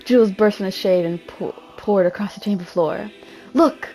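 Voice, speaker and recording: A woman's voice: mid-range voice, steady tone, a little fast, mellow, poor audio. A woman giving a mock-dramatic amateur reading, theatrical and self-mocking, often breaking off into casual asides. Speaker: amateur reader.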